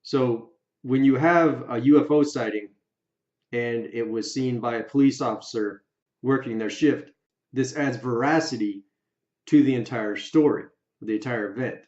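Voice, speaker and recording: A man giving opinion commentary for an online video, speaking emphatically and deliberately in short, separated phrases. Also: mid-range voice, darkish tone. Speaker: YouTube video creator. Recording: roomy echo, slightly dull sound.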